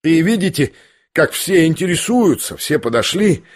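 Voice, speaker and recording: A man voicing a feverish, hysterical, defiant sick man in an excitable, theatrical audiobook reading. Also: on the high side, brisk, breathy edge, voice pushed up. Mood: hysterical, mocking. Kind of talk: dramatised audiobook character voice.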